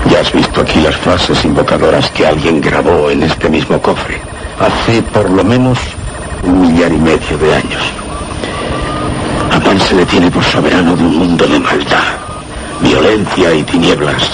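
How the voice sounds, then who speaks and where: An actor playing an erudite, grave scholar in a Spanish radio suspense drama, speaking Castilian Spanish in a measured, didactic way. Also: male voice, extremely low pitch, noisy background. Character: erudite male scholar friend, grave and explanatory.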